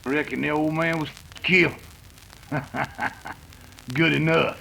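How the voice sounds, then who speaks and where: A man's voice: a little high, fairly even intonation, takes it slowly, gravelly, noisy background. A man with an American accent playing a gloating, drawling outlaw boss in an old-time radio western.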